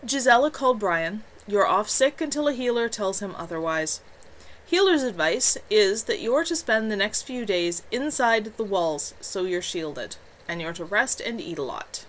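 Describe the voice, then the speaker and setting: A woman voicing a gentle, patient man who speaks softly and matter-of-factly. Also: mid-range voice, animated, moderate pace, natural voice. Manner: soft, matter-of-fact. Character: gentle patient male caretaker.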